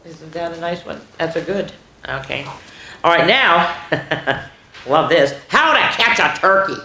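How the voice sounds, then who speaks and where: A woman's voice: lowish voice, expressive, a little slow, sharp tone. A woman hosting a children's story time, reading aloud with enthusiasm and chatty asides, stumbling now and then. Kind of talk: animated read-aloud for young children. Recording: roomy, echoing room sound.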